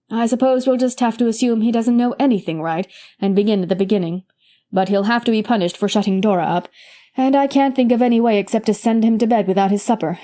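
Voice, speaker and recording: A woman voicing a stern, practical older woman who speaks in a brisk, matter-of-fact way. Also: medium pitch, mellow, close to an everyday voice.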